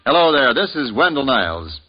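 Husky, declaiming 1950s radio announcer. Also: male voice, mid-range voice, big pitch swings, fairly quick, lo-fi sound, faint music behind. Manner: formal, declaiming.

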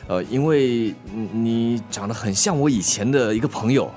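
An actor plays a shy man in a radio drama, speaking at a middle pitch with a Singaporean accent. He hesitates and stumbles over his words awkwardly, with music faintly behind him. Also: moderate pace, a little mellow, natural voice. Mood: nervous, hopeful. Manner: hesitant, stammering. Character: shy, awkward man hoping to reconnect with a woman. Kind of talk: naturalistic Mandarin radio drama acting.

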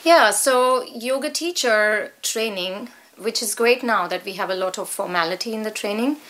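A woman's voice: medium pitch, normal speed, fairly bright tone. A middle-aged woman with an Indian accent, talking conversationally as an interview guest. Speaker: guest, yoga teacher.

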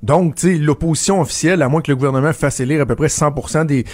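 A man's voice: a little high, quick pace, darkish tone, dry recording, studio quality. A man with a Québécois French accent, a political commentator chatting casually and telling anecdotes with frequent restarts. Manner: storytelling, many restarts and repeated words. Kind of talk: casual radio chat.

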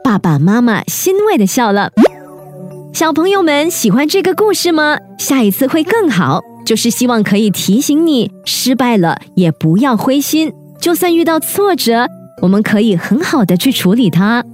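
Animated, high-voiced woman telling children's stories. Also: normal speed. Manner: animated, addresses children directly with questions. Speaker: children's storytelling host. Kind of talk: expressive storytelling for young children. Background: children's music.

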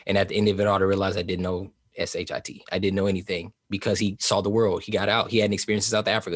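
A man's voice: medium pitch, no room sound, studio quality. A forty-year-old American man speaking reflectively and informally as an interview guest, rambling into slangy stories. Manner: rambling, animated storytelling with slangy phrasing.

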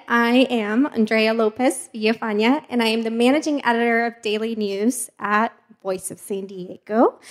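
Chatty woman with slightly high voice. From Mexico. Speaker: host and journalist. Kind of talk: casual live panel hosting.

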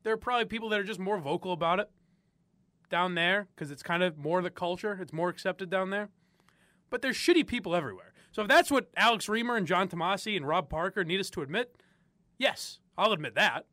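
A man's voice: high voice, animated, a little fast, pushed and bright, no echo. A young man from the area, hosting opinionated talk radio and ranting with frequent laughs. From Boston area. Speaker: sports radio host.

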